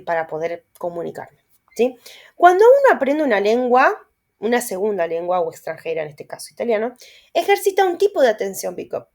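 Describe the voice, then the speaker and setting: A woman's voice: mid-range voice, darkish tone. A young Argentine woman with a Rioplatense accent, reading lecture notes aloud and adding asides and tag questions as she explains. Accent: Rioplatense Spanish. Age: young adult. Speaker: student teacher.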